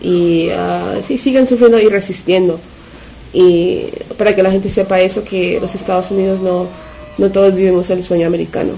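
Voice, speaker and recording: A woman from the United States, a native Spanish speaker, talking unscripted as a radio interview guest. She speaks haltingly, searching for words and slipping in English fillers. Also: lowish voice, steady tone, vocal fry, dark tone, a little hiss or hum, low-quality recording. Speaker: interview guest from a punk collective.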